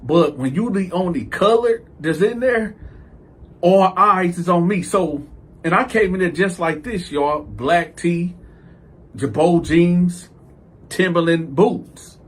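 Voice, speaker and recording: A man's voice: sits high, slow pace, breathy edge. A man hosting a storytime vlog, telling his tale animatedly and jumping into other voices for the quoted parts. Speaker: storytime channel host.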